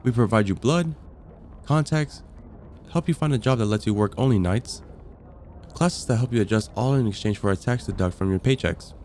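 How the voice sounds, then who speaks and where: Casting: male actor as a calm, dryly amused vampire caseworker for ASMR roleplay, lowish register, friendly and explanatory, ambient noise behind. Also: normal speed, close to an everyday voice. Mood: matter-of-fact, wry. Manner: calm, explanatory, gently wry. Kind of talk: ASMR roleplay, conversational acting.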